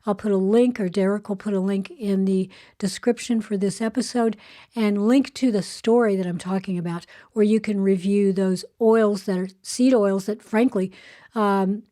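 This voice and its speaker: An older woman with a soft voice hosting a casual spoken segment, chatting with frequent fillers and restarts. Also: mid-range voice, steady tone, moderate pace. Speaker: show host. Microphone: earbuds headset.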